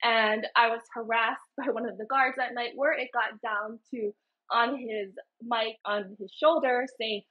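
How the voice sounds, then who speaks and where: A woman's voice: on the high side, fairly even intonation, a little slow, breathy edge. A woman from Hawaii, a co-host in a casual panel chat over a video call, telling stories earnestly. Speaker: podcast co-host. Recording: muffled lo-fi call audio.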